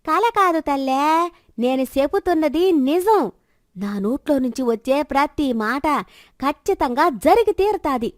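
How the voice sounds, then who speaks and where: A woman's voice: sits high, lively intonation, moderate pace, no room sound, clean studio sound. A woman voicing a wandering village fortune-teller who coaxes in rustic dialect with endearments and solemn prophecies in a moral story. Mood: solemn, prophetic.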